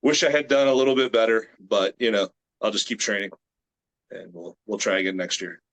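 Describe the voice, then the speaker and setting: Guest, male, late 30s, from the US, lowish voice, relaxed and meandering, lots of fillers. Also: brisk. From United States. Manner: relaxed, rambling with many fillers. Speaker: guest, grip strength athlete. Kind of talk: casual interview chat. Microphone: video call.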